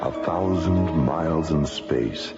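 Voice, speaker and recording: An actor narrating a science fiction radio serial in a solemn, portentous, dramatic style. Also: male voice, a bass voice, slow. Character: solemn, portentous male narrator of space adventure. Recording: muffled vintage radio drama, loud music bed. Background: loud dramatic music.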